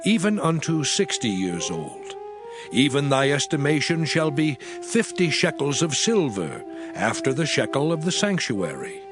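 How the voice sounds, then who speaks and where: A man performs a stern male deity in a dramatized scripture recording. The voice sits a little high for a man and declaims solemnly in measured, archaic phrases. Music plays clearly behind it. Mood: solemn, instructing.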